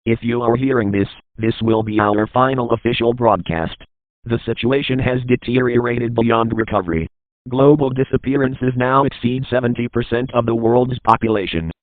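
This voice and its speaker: A man's voice: medium pitch, steady tone, moderate pace, no room sound, close to an everyday voice. A man playing a grim government announcer, giving a formal, grave final emergency broadcast. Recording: narrow, tinny emergency radio transmission.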